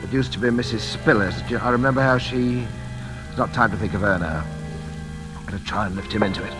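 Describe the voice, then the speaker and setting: Actor as an anxious gentleman hiding a past, over music. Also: fairly low pitch, quick pace, lightly acted, low-quality recording. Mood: panicked, scheming. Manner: agitated, muttering, repeating himself. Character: anxious, respectable gentleman with a secret convict past. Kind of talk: old-time radio drama acting. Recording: vintage radio drama recording.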